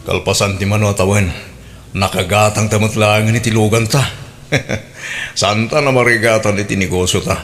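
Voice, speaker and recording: A man's voice: lowish voice, fairly even intonation, moderate pace, over music, natural voice. A man playing a hardworking, agreeable husband with a plain, resigned manner in a radio drama. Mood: earnest, agreeable. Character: hardworking, agreeable husband running a small market business.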